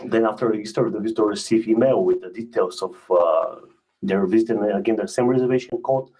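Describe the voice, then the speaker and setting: A man giving a webinar product presentation as a specialist, speaking in a hesitant, halting way and often repeating words. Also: on the low side, warm tone, husky, rough recording.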